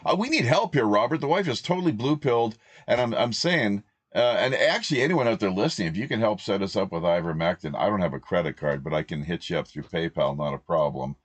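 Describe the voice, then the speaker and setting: Low-voiced host speaking off-mic. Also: male voice, lively intonation, fast, hardly any pauses. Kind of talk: casual chat.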